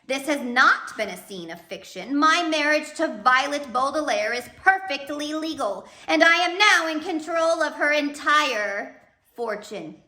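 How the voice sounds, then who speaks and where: Storybook villain count read by a woman, pompous, gloating, bright projected delivery, roomy sound. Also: high-pitched, animated, a little slow, voice pushed up, full character voice. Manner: theatrical, commanding, smug.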